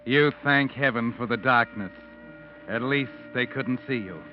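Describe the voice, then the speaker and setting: A man acting a taunting narrator of a vintage radio mystery. His mid-pitched delivery is insinuating and dramatic. Music plays behind the muffled recording. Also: unhurried, lightly acted. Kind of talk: scripted second-person radio mystery narration.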